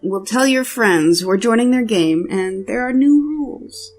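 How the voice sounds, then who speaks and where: Woman as a high-voiced, bossy young boy prince. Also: lively intonation, measured pace, a little mellow, faint music behind. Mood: stern, wry.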